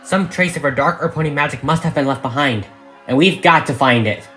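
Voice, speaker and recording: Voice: medium pitch, a little fast, bright, forceful tone, soft background music, pitched above the performer's own voice, lightly acted. A narrator voicing a brave, earnest young female cartoon pony leader with an emphatic, rallying manner. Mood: urgent.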